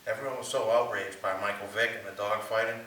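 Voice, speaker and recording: A man's voice: fairly low pitch, steady tone, fast, pushed and bright. A man giving a rehearsed dramatic reading of his life story as a stage monologue. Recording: very echoey room, distant mic, faint hiss.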